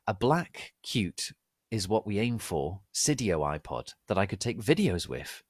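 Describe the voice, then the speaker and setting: A man's voice: fairly low pitch, big pitch swings, measured pace, breathy edge, no echo, studio quality. A man giving a theatrical, exaggerated dramatic reading of comic fan fiction. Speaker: reader.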